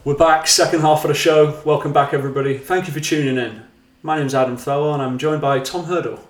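A man with a slightly higher voice co-hosts a football chat podcast, joking quickly and laughing often. The sound carries a noticeable room echo. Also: steady tone, faint music behind. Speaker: podcast co-host. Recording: echoey room.

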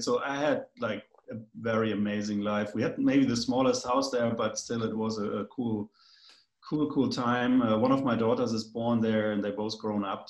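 A man's voice: mid-range voice, darkish tone, roomy sound, highs cut off. A man with a German accent, a guest in a casual conversation, talking in a rambling, hesitant way with many fillers.

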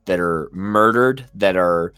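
A man co-hosting a podcast, his voice hoarse, speaking with passion to persuade listeners. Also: low-pitched, slow, a bit bright, no echo. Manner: impassioned, persuasive. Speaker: podcast co-host. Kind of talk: casual opinion talk.

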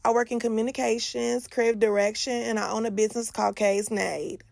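A woman in her twenties co-hosting a podcast with a steady, clear delivery. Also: fairly high pitch, brisk, no room sound, studio quality. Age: 20s. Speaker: co-host. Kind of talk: scripted podcast intro.

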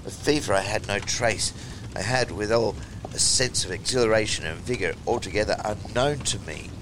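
A man giving a theatrical, deliberate and emphatic reading of a horror story as its narrator. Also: lowish voice, noisy background.

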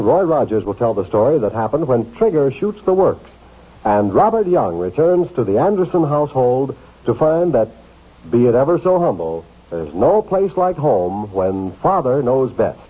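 Lively vintage male radio announcer. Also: medium pitch, slightly rough. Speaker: network promo announcer. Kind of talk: 1950s radio promo announcing. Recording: phone-like narrow old radio sound.

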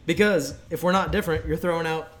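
A man's voice: a little high, steady tone, quick pace, a bit bright. A man co-hosting a podcast, talking casually and informally in an agreeable conversational way. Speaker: podcast co-host.

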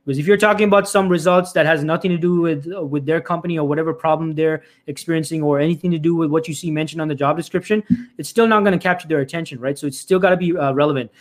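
A man's voice: a little high, racing. A man hosting a live stream as a career coach, giving emphatic advice to job seekers, often repeating himself and calling his audience "folks.". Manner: frequent restarts and filler "uh".

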